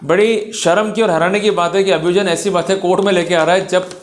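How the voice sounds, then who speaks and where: A man's voice: high voice, steady tone, quick pace. A man giving a legal lecture, emphatic and exhorting, with English legal terms mixed in.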